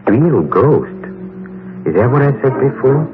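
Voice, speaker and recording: An actor as a sinister radio horror host, narrating in a menacing, halting, teasing way. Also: male voice, medium pitch, sing-song, slight background noise. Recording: tinny 1950s radio recording.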